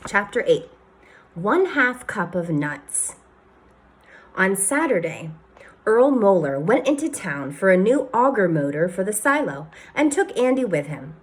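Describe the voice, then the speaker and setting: Woman teacher reading a kids book out loud, lively storytelling voice. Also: medium pitch, unhurried, breathy edge. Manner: expressive, storytelling, speaks to listeners. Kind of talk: reading a children's novel aloud.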